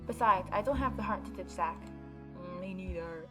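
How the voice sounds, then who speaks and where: A woman's voice: on the low side, normal speed. Woman gaming host over prominent music. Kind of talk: visual novel read-aloud with casual commentary. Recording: background game music behind voice.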